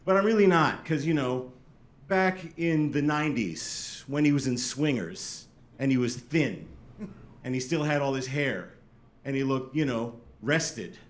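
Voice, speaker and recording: A man's voice: mid-range voice, animated, unhurried. A middle-aged man doing a stand-up set, talking to the crowd in a dry, self-deprecating, chatty way. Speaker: stand-up comedian. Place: comedy club stage with a laughing audience. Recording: roomy club sound with loud background.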